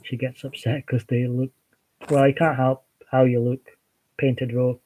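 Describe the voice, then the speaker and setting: A Scottish man chatting easily on a livestream, reading out viewers' comments and replying in a relaxed, rambling way. His pitch sits in an ordinary middle range. He often trails off and restarts his sentences. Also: measured pace, poor audio. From Scotland. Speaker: livestream host.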